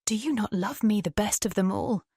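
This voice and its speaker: Young mermaid girl, acted by a woman, British accent, raised pitch, devoted, wistful, fairy tale audiobook, dry sound. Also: animated, normal speed, dark tone, husky, no room sound. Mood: pleading. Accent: British English. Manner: earnest, wistful.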